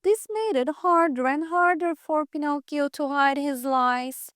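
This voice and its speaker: A woman reading a scripted children's fairytale aloud for English learners, with careful, deliberate phrasing. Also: high-pitched, fairly bright tone, no room sound, clean studio sound. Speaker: storyteller.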